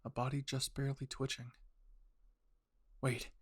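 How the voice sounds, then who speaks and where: A man reads dramatic fiction with an intense delivery. His voice sits at a middling pitch, recorded close and dry with hardly any room sound. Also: level tone, normal speed, dry recording. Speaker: fan fiction narrator. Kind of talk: dramatic scripted story narration.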